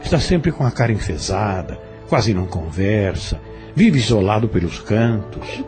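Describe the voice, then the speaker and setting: An actor in an audio novel playing a courteous, reserved, educated farm overseer who speaks in a formal, measured, gallant way. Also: male voice, on the low side, sing-song, music in the background. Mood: sincere, reflective.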